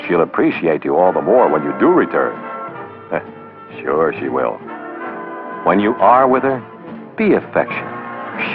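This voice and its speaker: A man narrating a 1960s training film, instructing with wry, sarcastic asides. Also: fairly low pitch. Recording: dull old film soundtrack with music. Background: background music.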